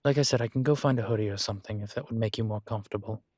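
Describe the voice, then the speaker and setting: A man playing a vain, seductive yet politely awkward incubus demon professor in an intimate audio roleplay, speaking hesitantly with drawn-out phrasing. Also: fairly low pitch, a little mellow, no echo, studio quality, natural voice. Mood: apologetic. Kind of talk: intimate audio roleplay, one-sided conversation.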